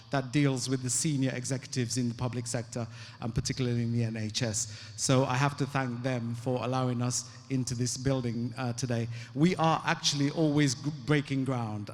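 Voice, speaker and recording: A man hosting an event and introducing speakers, relaxed and full of fillers. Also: medium pitch. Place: large event hall. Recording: long hall reverb.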